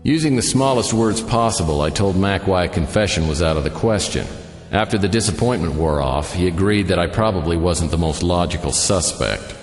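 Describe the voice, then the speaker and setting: A low, deadpan male detective narrates in noir radio style. He is wry and down on his luck, tossing out dry wisecracks. The voice rings with long reverb, and music plays clearly behind it. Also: a little fast. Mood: deadpan, brooding.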